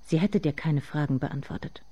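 An actress playing a somber narrator in a literary radio drama, speaking in measured, deliberate phrases. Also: female voice, deep voice, mellow.

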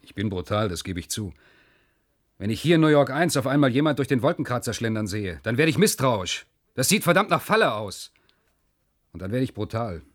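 A suspicious, brutal gunman from a radio play. His voice is husky and rough at medium pitch, swinging widely as he moves between curt commands and cool interrogation. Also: sing-song, a little fast. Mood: curt, menacing. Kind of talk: German radio play acting.

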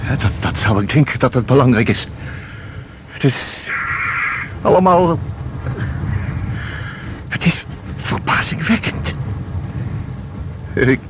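A man's voice: medium pitch, expressive, noisy background. An actor in a Dutch audio drama playing a bewildered, hesitant man who stammers and can hardly believe things. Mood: astonished, incredulous. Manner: stammering, halting, incredulous. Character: bewildered, hesitant male visitor struggling to believe.

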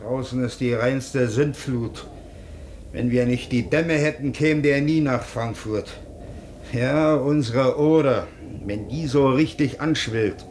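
A man's voice: mid-range voice, darkish tone, a little hiss or hum, close to an everyday voice. An actor playing a grumbling, chatty rural coachman in a German radio play, with a folksy manner. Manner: folksy, unhurried.

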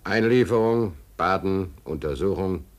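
An actor playing a wry, stateless drifter in a radio play, speaking in a laconic, deadpan way. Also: male voice, low-pitched, measured pace, soft background music. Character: wry, stateless male drifter recounting his prison days. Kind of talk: German radio play acting, dry first-person narration.

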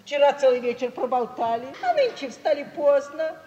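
An actress playing a shrewd, warm-hearted provincial gentlewoman in an expressive, emphatic 1950s Russian radio theatre style. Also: high voice, moderate pace, lo-fi sound. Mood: confiding. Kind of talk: mid-century Russian radio theatre acting. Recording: 1950s radio play, distant, echoey hall.

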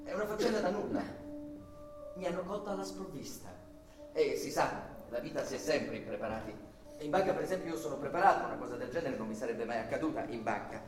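A man's voice: mid-range voice, expressive, fast, lightly acted. An actor playing an agitated, over-polite male bank employee in an emphatic, repetitive Italian radio theatre style. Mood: nervous, courteous. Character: agitated male bank employee lodger, courteous then explosively indignant. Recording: roomy, distant from mic, music behind.

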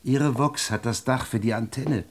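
A man's voice: medium pitch, moderate pace, natural voice. Male, mid register, acting: 1920s state secretary for radio drama, formal, persuasive, sure of himself. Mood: insistent, confident.

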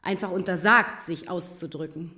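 A woman's voice: fairly low pitch, moderate pace, bright, forceful tone. A woman playing a careful, formal, placating schoolteacher who tries to keep order in a German radio drama.

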